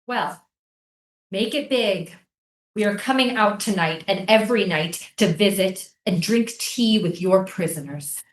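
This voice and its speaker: A woman's voice: mid-range voice, measured pace, lightly acted. Echoey, defiant native islander, acted by a woman. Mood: solemn. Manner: incantatory, repetitive pleading. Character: defiant, mournful native islander pleading for stolen land. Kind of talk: theatrical staged reading. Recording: echoey room.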